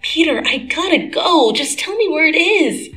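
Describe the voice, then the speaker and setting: A woman voicing a sassy young superheroine with a raised, bright tone. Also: sits high, sing-song, slow, soft background music, voice pushed up, heavily acted. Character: sassy young superheroine girlfriend with ice powers.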